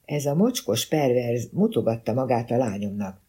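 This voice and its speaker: A man's voice: mid-range voice, sing-song, mellow, slightly rough. A man voicing an outraged, accusatory mother in an audiobook. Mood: outraged, accusing. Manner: indignant, accusatory.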